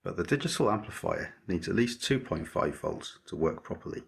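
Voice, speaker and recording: A man narrating a scripted electronics project tutorial as its maker, explaining methodically in a measured way. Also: low-pitched, very animated, gravelly, breathy edge.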